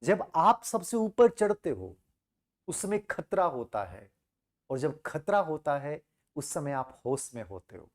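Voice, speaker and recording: A man's voice: fairly high pitch, very animated, takes it slowly. A man telling a moral story as a teacher, emphatic, repeating phrases and asking rhetorical questions. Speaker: teacher and motivational speaker.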